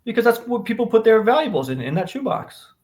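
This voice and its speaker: Higher-voiced man debating a book. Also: big pitch swings, fast, a little mellow. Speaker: podcast co-host discussing a book. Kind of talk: casual book discussion.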